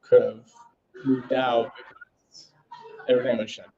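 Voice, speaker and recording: A man in his thirties, a chef guest, talking casually and relaxed with many fillers. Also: mid-range voice, a little slow, over a noisy crowd. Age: 30s. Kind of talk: casual interview chat. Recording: muffled lo-fi audio.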